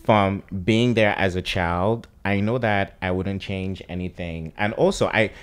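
A man from Cameroon, a podcast guest, talking in a relaxed, reflective way into a studio boom mic. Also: a little slow, clean tone. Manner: relaxed, reflective, laughs easily. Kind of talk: casual discussion. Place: studio lounge with leather armchair. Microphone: studio mic on boom arm.

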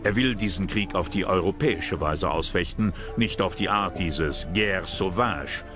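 Low-voiced male documentary narrator. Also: a little slow. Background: music.

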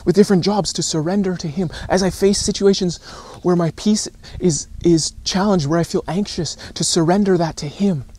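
A man in his thirties preaches informally to camera in a voice a little higher than average. He is earnest and deliberate, pausing often and repeating phrases for emphasis. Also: a little mellow. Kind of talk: informal recorded sermon to camera. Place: outdoors on a rocky shore by calm water.

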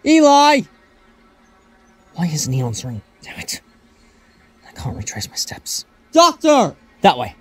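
Man acting an anxious lost traveller, animated delivery. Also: fairly high pitch, a little slow, long pauses, slight background noise, exaggerated voice. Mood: alarmed, searching. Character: anxious male traveller lost and searching, talks to himself. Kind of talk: fan audio drama acting.

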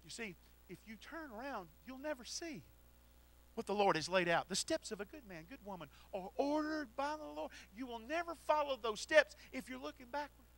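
A middle-aged man preaching a sermon into a handheld mic in a church, swinging from shouted exhortation to quiet storytelling. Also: extremely high pitch, lively intonation, moderate pace, low-quality recording. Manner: preaches while pacing the platform. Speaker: pastor. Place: church sanctuary.

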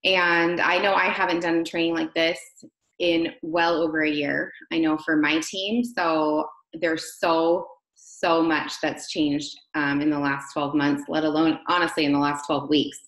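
Casting: woman, 30s, casual webinar host, lowish register, roomy laptop-mic sound. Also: flat delivery, a little slow, fairly bright tone. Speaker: host of an online training session. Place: small home room, indoors. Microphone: laptop on a video call.

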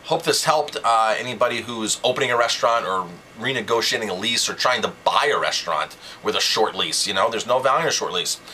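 Guy doing a casual advice video for restaurant owners, sharp forceful voice, emphatic, bit of noise behind him. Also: medium pitch, expressive, brisk, few pauses, rough recording. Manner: emphatic, conversational, poses rhetorical questions. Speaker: chef and restaurant owner giving business advice. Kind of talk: informal instructional video talk.

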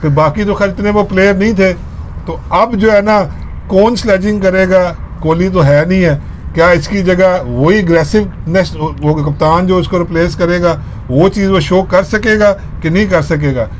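Pakistani man talking cricket outdoors, high voice, mixes in english, faint noise behind. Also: normal speed. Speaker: cricket pundit. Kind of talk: casual chat, storytelling and opinion. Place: outdoors at a table in a park.

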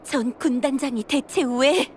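A woman's voice: sits high, unhurried, a little mellow, a little hiss or hum. A woman playing an earnest, headstrong young elf in a game cutscene, speaking with urgency and emotion. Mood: shocked, suspicious. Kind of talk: scripted game cutscene acting.

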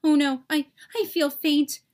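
A woman voicing an excitable, easily alarmed cartoon germ in an exclamatory, melodramatic storybook style. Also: sits high, a little slow. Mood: panicked.

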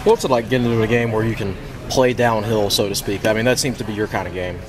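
A man's voice: on the low side, fairly even intonation, fast. A man asking casual postgame questions as a sports reporter. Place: gym press area, indoors. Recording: crowd noise behind.

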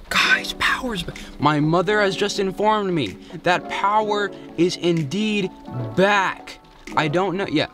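A young man answering quirky questions in a casual, joking way, filming himself with a phone in a parked car. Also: medium pitch. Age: young adult. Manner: playful, joking, quick asides. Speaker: vlogger. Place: inside a parked car at night. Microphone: phone held at arm's length.

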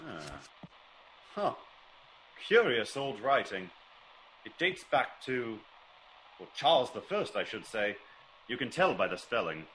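Classic radio detective: probing, theatrical, commanding, animated delivery, slight noise on an old recording. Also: male voice, medium pitch, a little slow, pauses often, low-quality recording. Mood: inquisitive. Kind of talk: classic radio drama acting.

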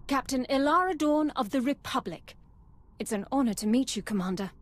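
Young captain, high animated voice, dutiful, courteous, brisk, game cutscene. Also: female voice. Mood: firm, courteous. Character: earnest, upright young female army captain. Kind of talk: video game cutscene acting.

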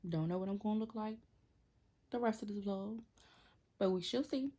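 A young woman vlogger with a raspy, hoarse voice, chatting casually to her viewers from her sofa at home into a close phone camera. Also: mid-range voice, normal speed, warm tone. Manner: chatty, laid-back, addresses viewers as girl. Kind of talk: casual vlog talk to camera. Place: home, seated on a sofa indoors. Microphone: phone camera close to face.

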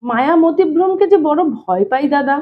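A woman's voice: sits high, expressive, moderate pace. A woman reading a storybook as a shy, stubborn young bachelor, plain-spoken and evasive in colloquial speech. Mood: wary. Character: shy, stubborn young bachelor brother-in-law, reluctant to marry. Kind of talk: dramatized audiobook reading. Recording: thin phone-like narrow-band sound.

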